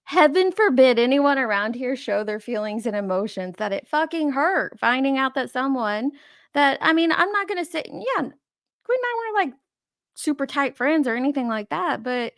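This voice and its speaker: Female streamer, own voice: whiny, fry-heavy, sarcastic, swears a lot, dry mic. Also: high voice, animated, moderate pace, no room sound. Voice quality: describes her own voice as whiny and babyish. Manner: sarcastic, indignant, swears freely. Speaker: YouTube livestream host. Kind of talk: casual livestream commentary.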